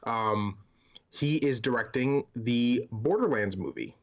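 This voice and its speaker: A man co-hosting a casual podcast about movies and games, in relaxed conversational talk. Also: medium pitch, slow. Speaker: podcast co-host. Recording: slightly dull, lo-fi microphone sound.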